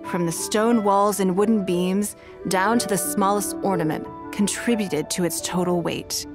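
A woman voicing a calm, shrewd, wealthy noblewoman in a game, speaking in a measured, commanding way, with music behind her. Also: mid-range voice, natural voice. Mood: reflective. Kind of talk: game dialogue voice acting.

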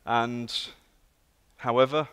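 British guest preacher, 30s, London accent, earnest storyteller, echoing church hall. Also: male voice, mid-range voice, slow pace, clean tone, dull audio. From England. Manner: earnest, storytelling, lively emphasis. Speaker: guest speaker. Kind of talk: sermon. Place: large church sanctuary. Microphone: handheld and stage mic. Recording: long hall reverb.

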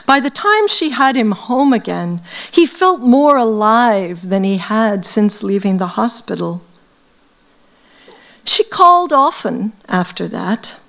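Unhurried higher-pitched woman reading literary prose. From United States. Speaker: academic reading from a novel. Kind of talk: literary reading aloud to an audience.

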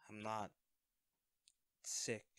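A man's voice: extremely slow, a bit bright, no echo, clean studio sound. A man acting in an audio roleplay as an awkward, self-effacing secret superhero, talking hesitantly and evasively to a best friend. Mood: sheepish, weary. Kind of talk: audio roleplay drama, one-sided conversation.

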